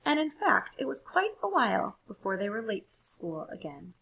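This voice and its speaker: A woman reading a story aloud for children, expressive and deliberate, pausing to ask listeners questions. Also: medium pitch, gravelly, breathy edge, muffled recording. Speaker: storybook reader.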